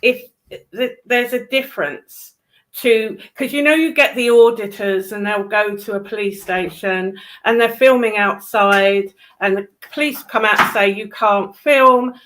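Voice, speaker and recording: Blunt British woman, unhurried and opinionated. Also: medium pitch, fairly bright tone, slight background noise, low-quality recording. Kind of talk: casual chat, opinionated commentary.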